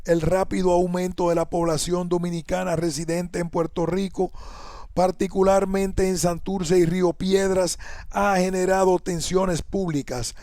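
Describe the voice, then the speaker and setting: A man reading an academic essay aloud in a measured, even delivery, sometimes correcting himself. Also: sits high, dry recording, studio quality. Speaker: essay reader.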